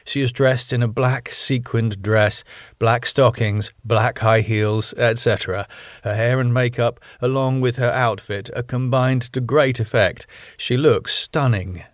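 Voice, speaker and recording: A man reading scripted stage directions as narrator, in measured, deliberate and dramatic phrases. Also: mid-range voice, steady tone, no room sound, studio quality. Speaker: narrator reading his own sitcom script.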